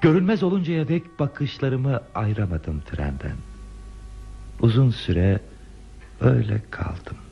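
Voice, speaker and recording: A man's voice: mid-range voice, expressive, slow pace, vocal fry, long pauses. An actor playing a bashful, wistful man who recalls a lost youthful love in a hesitant, reflective radio drama style. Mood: nostalgic. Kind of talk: radio theatre acting. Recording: dull radio drama recording with music.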